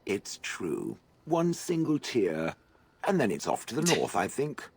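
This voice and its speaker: A woman voicing a gloomy, sarcastic stone golem from a fantasy game, with a low husky voice and a dry, mocking manner. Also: huge pitch swings, unhurried, heavily acted. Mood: sardonic. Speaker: game character.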